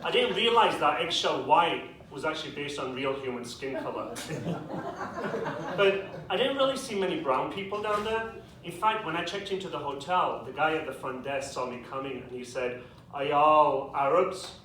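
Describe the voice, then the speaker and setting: A young man with a British accent doing a stand-up comedy set in a club with a live audience, delivering dry, self-deprecating punchlines with quick asides. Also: fairly high pitch, lively intonation. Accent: British English. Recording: echoey club, distant mic, audience noise. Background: audience laughter.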